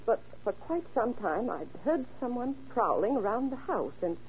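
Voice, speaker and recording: A woman's voice: a little high, big pitch swings, moderate pace, darkish tone. An actress playing an elderly, genteel mother who explains herself hesitantly and evasively in an old radio crime drama. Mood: flustered, explaining. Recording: dull vintage radio recording, faint noise.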